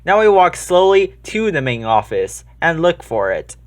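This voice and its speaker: A man reads a helpful young schoolboy from a children's storybook. He sounds eager and keen, in a fairly high voice. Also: sing-song, measured pace.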